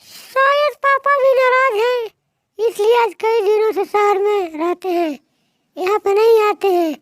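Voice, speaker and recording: A woman's voice: very high voice, unhurried, no room sound, studio quality. A woman voicing a headstrong young married daughter in a moral-story cartoon, pleading and scolding in plain words. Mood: aggrieved.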